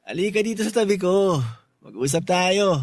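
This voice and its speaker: A man's voice: sits high, animated, moderate pace, no room sound. A drunk young husband in a radio drama, good-hearted but full of self-pity. His speech is slurred and rambling, swinging widely up and down as he pleads. The recording is dry and close. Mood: tipsy, coaxing. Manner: rambling, repeating himself, pleading. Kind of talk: Tagalog radio drama acting.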